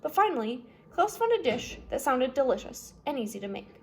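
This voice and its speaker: A woman's voice: high voice, very animated, a little fast, slight background noise. A woman narrating a children's novel as a read-aloud storyteller.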